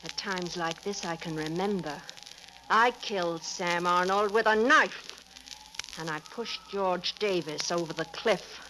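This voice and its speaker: An actress playing a beautiful, unhinged murderess in an intense, melodramatic 1940s radio style. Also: female voice, fairly low pitch, unhurried, bright, forceful tone. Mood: cold, menacing. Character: beautiful murderess, frantic wife with a homicidal mad streak. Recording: noisy old 1940s radio recording.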